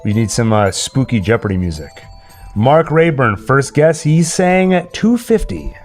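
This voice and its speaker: Animated man hosting casual trivia. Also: medium pitch, unhurried, soft background music. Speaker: host and trivia quizmaster. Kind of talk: casual livestream chat and quiz hosting.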